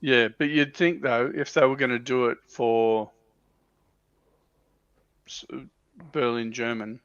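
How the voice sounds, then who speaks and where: A man's voice: mid-range voice, fairly even intonation, slow pace, fairly bright tone, clean tone, no room sound. A man on a livestream panel talking casually about a hobby, heard through a dull, lo-fi microphone.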